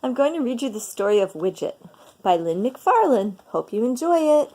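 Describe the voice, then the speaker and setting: A woman reading a picture book aloud in an expressive, dramatic storytelling manner for children. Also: on the high side, moderate pace.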